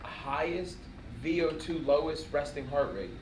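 A man's voice: on the high side, unhurried. A man co-hosting a casual podcast interview, jumping in eagerly. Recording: roomy, distant mic, noisy.

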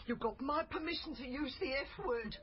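Strained, weary mother for radio drama, played by an actress; high voice, noisy muffled sound. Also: normal speed, close to an everyday voice.

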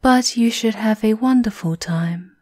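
A woman plays a prim castle tailor in an ASMR roleplay, formal and coolly menacing. Her delivery is very slow and measured, often slipping into a soft, mellow whisper. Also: a little high, pitched above the performer's own voice. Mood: coolly polite.

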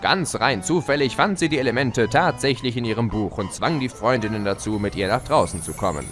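A gleeful spirit of chaos, played by a man, mocking and theatrical in an audio drama. His pitch swoops around in a middle register as he gloats, with music playing underneath. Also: lively intonation, a little fast, fairly bright tone, lightly acted. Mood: smug storytelling. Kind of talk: audio drama narration in character.

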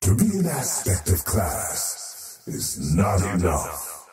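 A deep, distant voice drenched in echo, a faceless creature of the void from a video game. He speaks very slowly and portentously, a power-hungry oathbreaker gloating over his victims. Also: huge pitch swings, heavily acted. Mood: proud, scheming. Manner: slow, portentous, theatrical, gloating. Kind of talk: scripted video game character voice lines. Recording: heavily echoing, distant, loud music behind.

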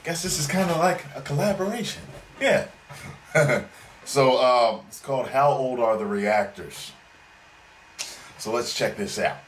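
A man's voice: medium pitch, a little slow, pauses often, a little hiss or hum. A man in his thirties chatting casually and jokily as a reaction video host, swearing freely, recorded at a desk in a room at home. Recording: echoey room.

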